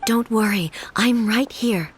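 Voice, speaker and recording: A woman voicing a calm, regal female shogun in a video game, speaking in a composed, measured, formal way. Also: a little high, a little hiss or hum. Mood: calm reassurance. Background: music.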